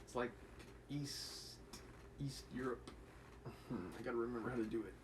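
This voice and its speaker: A man reads a novel aloud in a relaxed livestream, slipping into light voices for the characters and casual remarks to his chat. His voice sits in the middle of the male range, and a clear noise hangs behind it. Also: medium pitch, normal speed. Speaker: livestream reader. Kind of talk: reading a novel aloud on a livestream, chatting with viewers.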